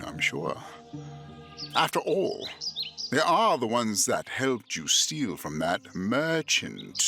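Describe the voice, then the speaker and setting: An actor playing a wealthy, menacing lord in an audio drama, drawling formally with condescending, cool amusement. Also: male voice, lowish voice, gravelly, exaggerated voice.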